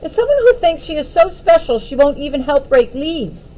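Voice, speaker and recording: A woman's voice: sits high, big pitch swings, normal speed, warm tone, pitched above the performer's own voice, rough recording. A woman voicing a sweet, earnest, brave young female character from a children's storybook. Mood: earnest, indignant.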